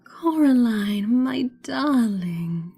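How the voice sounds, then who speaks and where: A woman's voice: on the high side, expressive, dark tone, slightly rough, soft background music, pitched above the performer's own voice, full character voice. A woman voicing a sugary, sinister false mother from a storybook, cooing and stretching out her words. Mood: sweetly menacing. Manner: drawn-out, cooing, over-sweet.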